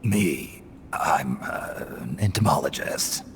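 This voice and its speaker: An actor playing a gruff, guarded male soldier in a video game, terse and muttering in a gravelly, breathy growl. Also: expressive, slow, background noise, exaggerated voice. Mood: evasive. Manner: terse, low, muttering questions. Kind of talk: video game cutscene acting. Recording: dramatic music behind the voice.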